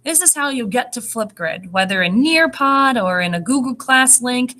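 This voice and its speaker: A woman speaking as a first-grade teacher, reading and explaining to young children in an animated, encouraging way. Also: on the high side, moderate pace. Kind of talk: read-aloud and lesson addressed to young children.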